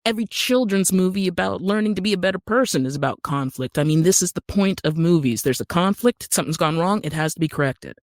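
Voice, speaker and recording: A woman's voice: fairly low pitch, lively intonation, fast talker, few pauses, no room sound, clean studio sound. An American woman speaking as a podcast guest in a casual interview, emphatic and wry. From Utah, United States. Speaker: guest actor and screenwriter.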